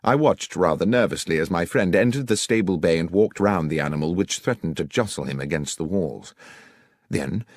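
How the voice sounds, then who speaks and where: A man's voice: sits low, expressive, normal speed, no echo. A man reading a scripted detective story as an audiobook narrator.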